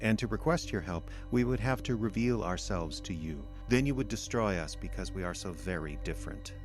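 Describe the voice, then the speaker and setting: A very low-voiced alien being, acted by a man, pronounces judgment in formal, deliberate phrases. It is cold and condemning, in audio drama style with music underneath. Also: lively intonation. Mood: cold, dismissive.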